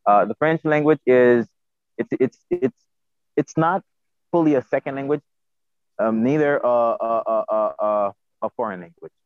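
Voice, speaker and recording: A man's voice: medium pitch, moderate pace, clear, resonant tone, dry recording. A man from Haiti with a Haitian accent, a native Haitian Creole speaker, answering interview questions hesitantly and repeating words as he searches for the next. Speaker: podcast guest, self-taught polyglot. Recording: tinny phone-like line.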